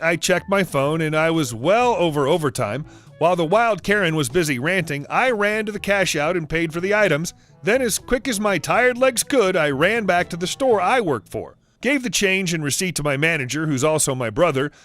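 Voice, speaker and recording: Guy reading reddit stories, steady read, higher voice, quiet music under. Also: a little fast. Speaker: story narrator. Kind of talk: scripted narration of online stories.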